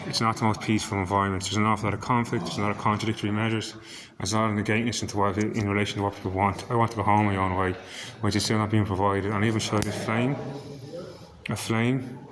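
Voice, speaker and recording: A man from Ireland with an Irish accent, husky and hoarse, rambling to camera in a vlog and stumbling as he repeats words while searching for them. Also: fairly low pitch. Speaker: vlogger.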